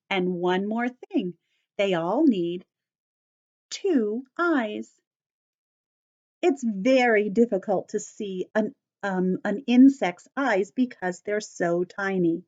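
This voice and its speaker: A woman teacher giving a story-time lesson to young children, explaining in simple, deliberate phrases and repeating key points. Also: medium pitch, a little mellow, dull audio.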